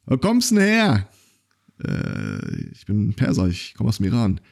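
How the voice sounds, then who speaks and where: A man's voice: on the low side, wildly swooping pitch, measured pace, vocal fry, a little mellow. A man hosting a casual podcast chat, telling stories loosely with many fillers and restarts. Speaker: podcast host.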